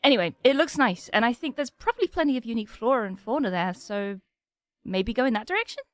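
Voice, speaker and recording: A woman's voice: fairly high pitch, very animated, fast, fairly bright tone, dry recording. A woman playing a practical, protective knight bodyguard in an audio drama, casual and dryly matter-of-fact. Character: practical, wry female knight bodyguard, protective, grumbles amiably. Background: music.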